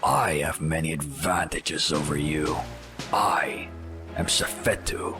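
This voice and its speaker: Very deep, menacing pirate captain. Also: male voice, slow pace, over music, full character voice. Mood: haughty, menacing. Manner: imperious, formal, stilted phrasing. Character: menacing, proud pirate captain of ancient sea raiders. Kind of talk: audio drama acting.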